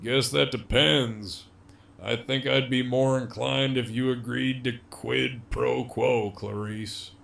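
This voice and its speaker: A man acts a middle-aged rogue with heavy gravel in his throat. He talks in an unhurried, sardonic drawl, profane and teasing, as a cocky captive villain in an audio drama. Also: medium pitch, fairly even intonation, fairly bright tone, heavily acted. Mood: wry, teasing. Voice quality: gravelly, creaky.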